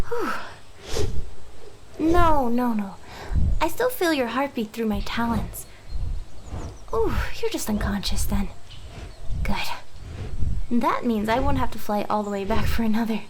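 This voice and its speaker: A woman voicing a hyper, smitten young female harpy in an anime ASMR roleplay, chirpy and coaxing, with soft whispered turns. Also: high-pitched, background noise.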